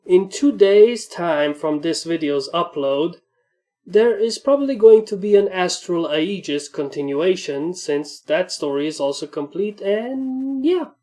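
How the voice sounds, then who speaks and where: A woman's voice: on the low side, animated, a little slow, warm tone. A woman narrating fan fiction as a reader and host. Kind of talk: story narration. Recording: music under the voice. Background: background music.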